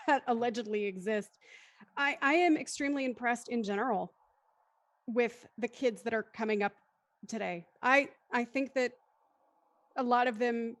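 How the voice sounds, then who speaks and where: A woman's voice: a little high, measured pace, long pauses. A woman in her thirties hosting a post-show discussion, speaking in a careful, wordy, articulate way. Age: 30s. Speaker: host and moderator.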